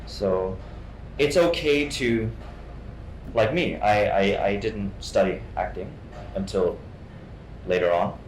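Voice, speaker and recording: A man from Hong Kong giving an informal interview as a guest actor, halting and often repeating his words. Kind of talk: informal artist talk, answering questions. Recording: echoey room, faint rumbling noise.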